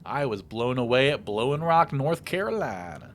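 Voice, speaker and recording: Guy doing a lets play, reads the game text out loud real expressive, pitch all over, some noise behind him. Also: normal speed. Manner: expressive, theatrical read-aloud delivery. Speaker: let's play host. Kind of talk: game playthrough, reading narration aloud with commentary.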